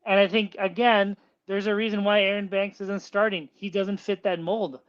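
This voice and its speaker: A man's voice: little pitch movement, moderate pace, clear, resonant tone. Nasal-voiced man calling in on a lo-fi line. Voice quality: nasal, high-pitched. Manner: rambling, repeats words when starting a point. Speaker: caller. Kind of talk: casual sports call-in chat. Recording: dull lo-fi phone line.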